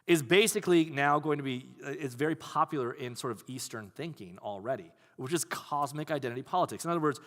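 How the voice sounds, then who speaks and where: Bright-voiced pastor in a reverberant hall. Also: male voice, medium pitch. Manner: conversational, animated, acts out quoted voices. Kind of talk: sermon.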